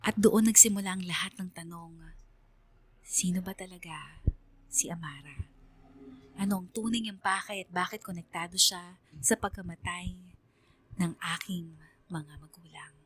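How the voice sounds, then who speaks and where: A Filipino woman voicing a haunted letter sender, narrating a first-person radio horror story in a dramatic, brooding way with hushed drops. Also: fairly low pitch, moderate pace, long pauses, husky. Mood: ominous.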